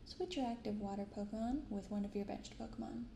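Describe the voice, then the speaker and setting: Soft-spoken young woman, creaky ASMR voice. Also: medium pitch. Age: young adult. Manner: hushed, unhurried reading aloud and chatting. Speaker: YouTube card-opening host. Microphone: close binaural microphone. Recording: roomy sound with clear background noise.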